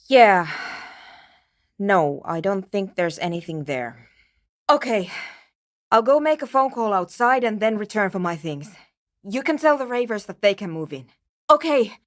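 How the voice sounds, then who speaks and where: Dry, brisk programmer woman, very animated pitch. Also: dry recording. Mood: defensive. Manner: dry, precise, curt, technical phrasing. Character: brisk, matter-of-fact female programmer and radio tinkerer. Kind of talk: video game character dialogue.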